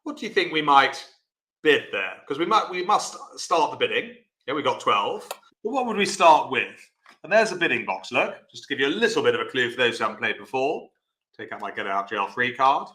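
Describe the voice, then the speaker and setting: A man teaching an online bridge lesson, chatty and encouraging, explaining step by step in a loud voice. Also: medium pitch, very animated, a little fast, roomy sound.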